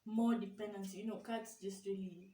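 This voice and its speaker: A young woman chatting casually with her sister, lively and animated as she tells stories. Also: mid-range voice, normal speed. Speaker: vlogger's sister. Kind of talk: casual sibling tag chat. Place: small bare room indoors. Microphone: camera across the room. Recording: echoey room, distant mic, faint hiss.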